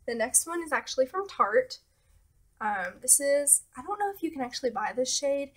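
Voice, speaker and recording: A young woman talking casually about beauty products as a vlogger, relaxed and hesitant with many fillers, her nose a little stuffy. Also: high-pitched, normal speed, slight background noise. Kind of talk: casual product talk to camera.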